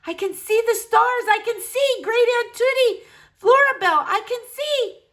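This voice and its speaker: A woman's voice: very high-pitched, measured pace, fairly bright tone, voice pushed up. A woman voicing a wordy, earnest young boy in a children's storybook, speaking in a formal, effusive manner. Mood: amazed. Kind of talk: acted children's storybook dialogue.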